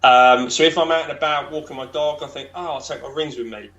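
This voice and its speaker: A man with a deep voice, a podcast guest chatting casually, talking in loose, rambling sentences full of fillers and restarts. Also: fairly even intonation, fast, a bit bright, dull audio. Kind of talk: casual interview chat.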